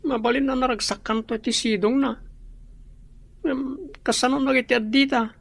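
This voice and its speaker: A woman acting as a worried, deferential younger sibling in an Ilocano radio drama, asking anxious questions in a creaky voice. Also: high-pitched, steady tone, normal speed, dry recording, full character voice.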